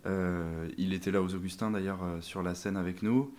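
A man's voice: low voice, fairly even intonation, moderate pace, darkish tone. A young man, a band member, talking in an interview with many fillers and restarts. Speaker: band member, sousaphone player. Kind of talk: interview conversation.

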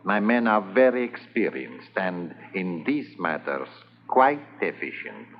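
An actor playing a suave foreign smuggling boss who speaks with cold, formal politeness, in 1950s radio drama style. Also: male voice, low-pitched, sing-song, measured pace, heavily acted. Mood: menacing polite. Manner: smooth, coldly polite, formal. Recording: dull lo-fi 1950s radio transcription with hiss.

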